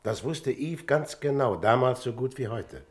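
Actor as a courteous, guarded man, medium pitch. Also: animated, normal speed, natural voice. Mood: earnest, probing. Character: polite, probing man investigating his brother's death, Mr. Bristol. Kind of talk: radio drama acting.

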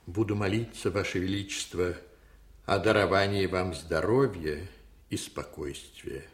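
Актёр играет торжественного, вкрадчивого священника, советника монарха, в советской радиопостановке. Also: male voice, sits low, measured pace. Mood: solemn, deferential. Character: smooth, solemn, insinuating priest counselling a monarch. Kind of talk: 1980s Soviet radio drama acting.

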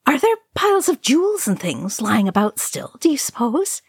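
A woman's voice: extremely high pitch, big pitch swings, moderate pace, a bit bright, no echo, studio quality, voice pushed up, exaggerated voice. A woman voicing an eager, curious young male hobbit in a fantasy story.

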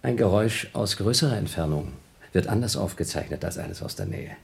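A man's voice: on the low side, expressive, a little fast, gravelly. A man playing an impulsive, sarcastic astronaut in a German radio play, arguing and accusing his crewmate. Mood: accusing, indignant. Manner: argumentative, sarcastic, swinging from muttering to exclaiming.

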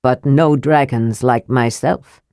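A woman voicing a wise, stern male gold dragon who speaks gravely and formally. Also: extremely low pitch, steady tone, slow pace, warm tone, dry recording, clean studio sound, voice pushed down, heavily acted.

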